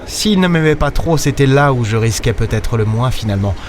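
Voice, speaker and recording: An actor playing a cynical, impulsive space captain in a sci-fi audio drama, with a sardonic, gruff, dismissive way of talking. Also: male voice, medium pitch, lively intonation, a little fast, a little mellow, a little hiss or hum, natural voice. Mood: wry, brooding. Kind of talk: sci-fi audio drama, noir-like first-person narration and dialogue. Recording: music under the voice.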